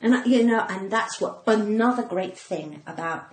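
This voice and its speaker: A woman's voice: mid-range voice, moderate pace. Cut-glass English woman in her sixties, roomy echo. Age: 60s. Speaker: podcast guest, comedian. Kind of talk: casual chat. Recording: roomy echo, faint background noise.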